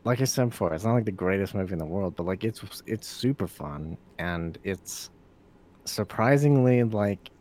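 A man with a very low voice talking through his take on a film. He rambles in a relaxed way and swears casually between thoughts. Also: normal speed. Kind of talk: casual chat.

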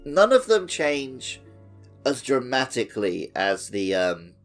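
A man's voice: mid-range voice, huge pitch swings, takes it slowly, soft background music. A man co-hosting a podcast, talking casually through a video game. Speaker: podcast co-host.

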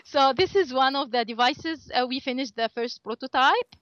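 A woman whose first language is Arabic, speaking as an interview guest and often pausing on filler sounds. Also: high-pitched, a bit bright, dry recording, dull audio. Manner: hesitant, many filler sounds, laughs often. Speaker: interview guest, assistive tech volunteer. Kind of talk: conversational interview.

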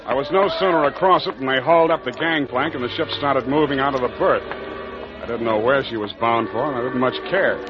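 An actor playing a wry, hard-boiled private detective, wisecracking in 1940s radio noir style. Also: male voice, medium pitch, brisk, natural voice. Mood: wry, narrating. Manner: deadpan, wisecracking. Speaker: narrator and lead. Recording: dull old radio recording, music bed.

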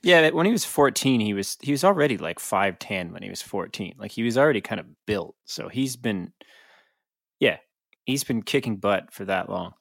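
A man with a fairly low voice speaks as a podcast panelist. His delivery is conversational and informal, like casual sports chat. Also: animated, moderate pace, gravelly, fairly bright tone, no room sound, clean studio sound.

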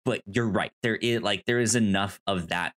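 A man co-hosting a podcast, casually discussing films in a halting way, circling back over his words. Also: lowish voice, a bit bright. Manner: hesitant, halting, restarts and repeats words. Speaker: podcast co-host.

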